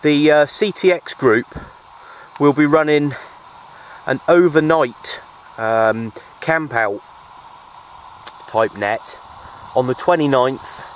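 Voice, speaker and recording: Man, British accent, mid-pitched, casual outdoor vlog chat, easygoing, informal, clearly noisy open-air recording. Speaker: CB radio hobbyist vlogger. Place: outdoors at a windy makeshift shelter.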